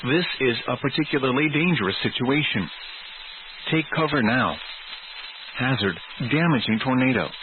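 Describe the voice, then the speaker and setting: A man's voice: medium pitch, animated, moderate pace. A man reading scripted emergency weather bulletins in a formal, clipped, synthetic-sounding way over weather radio. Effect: weather radio broadcast. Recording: noisy, narrow phone-like radio signal.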